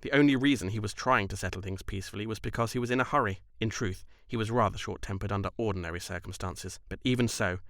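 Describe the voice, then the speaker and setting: A man's voice: fairly low pitch, fast talker, few pauses, a bit bright, no room sound. A man reading scripted story narration in an audiobook style, as the narrator of a fan dub.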